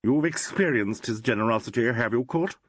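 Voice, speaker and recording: A man voicing a smooth, oily man who croons soothingly. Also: mid-range voice, a little fast, no echo, close to an everyday voice.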